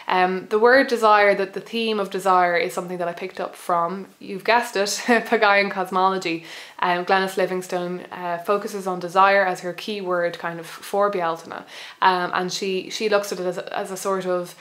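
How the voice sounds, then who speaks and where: A young Irish woman in her twenties, bilingual, talking to camera in a casual, reflective way with many pauses, restarts and small laughs. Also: on the low side. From Ireland. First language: bilingual Irish speaker. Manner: hesitant, many ums and restarts, soft laughs. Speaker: pagan video blogger.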